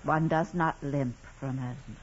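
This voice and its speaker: A woman's voice: extremely low pitch, steady tone, a little slow. Formal mother superior, concerned, old radio drama, dull noisy recording. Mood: composed, concerned. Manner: formal, urging. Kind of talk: 1940s radio drama acting.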